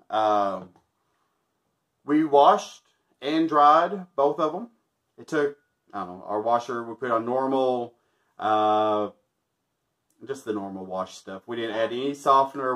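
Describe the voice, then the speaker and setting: A man's voice: medium pitch, a bit bright. A man in his thirties chatting casually while testing a laundry product, joking and chuckling often, recorded on a camera mic in a small room. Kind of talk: casual product review chat. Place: small indoor room, backdrop with stars.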